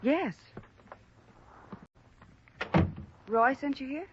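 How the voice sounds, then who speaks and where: A woman's voice: high voice, expressive, moderate pace. An actress playing a guarded, indignant woman accused of theft in an old radio drama. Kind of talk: 1940s-50s American radio drama acting. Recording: dull, faintly noisy vintage radio recording.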